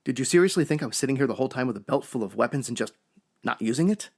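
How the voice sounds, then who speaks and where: A man playing an earnest, exasperated costumed crime-fighter in a superhero radio drama, with a gravelly voice. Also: mid-range voice, animated, rapid-fire, a little mellow. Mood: weary, urgent. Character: earnest, weary male costumed crime-fighter, chained captive.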